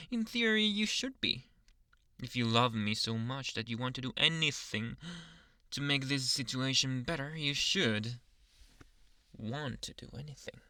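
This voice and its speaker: A man's voice: mid-range voice, bright, forceful tone, dry recording, studio quality. Guy doing a jealous dominant anime boyfriend asmr, crackly voice w lots of swoops, teasing n menacing, dips into whispers. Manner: teasing, deliberate, dropping into whispers.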